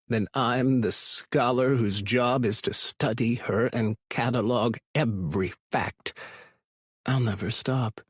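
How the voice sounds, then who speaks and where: An obsessive male stalker narrates a thriller audiobook with quiet menace. His voice is husky and fairly low, and he speaks slowly and deliberately in a close, dry recording. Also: dry recording, heavily acted. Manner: slow, deliberate, possessive.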